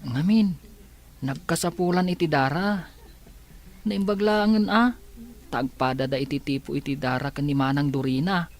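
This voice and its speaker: A man's voice: a little high, moderate pace, pauses often, natural voice. A man with a Filipino accent playing a mild, hesitant younger man in an audio drama. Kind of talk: Ilocano audio drama acting.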